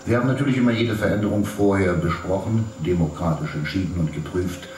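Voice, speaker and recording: Echoing and a little far off, a smooth male official speaks through a screen in a radio drama. His voice is fairly low, polished and teasing, like a practised politician. Faint noise surrounds him. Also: fast, a little mellow. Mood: earnest, polished. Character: Nico, smooth, evasive city official charming a friend. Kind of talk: German radio drama acting. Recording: roomy, distant, faintly noisy sound.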